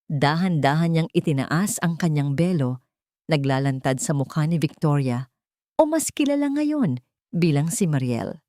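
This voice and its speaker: A woman with a slightly low voice narrates a romance story. Her delivery is scripted and dramatic, in a literary storytelling manner. The sound is dry, with very little room in it. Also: expressive, measured pace, dark tone, no room sound.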